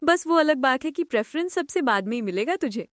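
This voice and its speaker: Woman acting an expressive, high-voiced affectionate mother. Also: brisk, no echo, studio quality. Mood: proud, teasing. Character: affectionate, supportive middle-aged mother and mother-in-law. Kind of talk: animated story drama acting.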